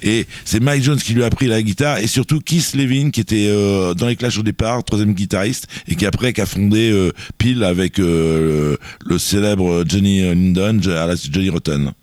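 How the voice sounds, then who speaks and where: A man's voice: fairly low pitch, normal speed, few pauses, a little mellow, no echo. A man presenting music on a radio show in a studio, chatting casually and rambling with many fillers and restarts. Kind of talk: casual radio chat about music history.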